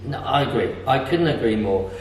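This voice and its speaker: A man's voice: medium pitch, lively intonation, moderate pace. A middle-aged man chatting as an interviewer, emphatic and agreeable. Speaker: interviewer and property investor. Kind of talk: conversational interview. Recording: echoey room, distant mic, faint noise.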